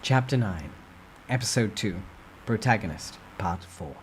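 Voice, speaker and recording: A man's voice: fairly low pitch, animated, unhurried, a little hiss or hum. A man reading a fantasy novel aloud as a dramatic, suspenseful first-person narrator. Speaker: amateur story narrator.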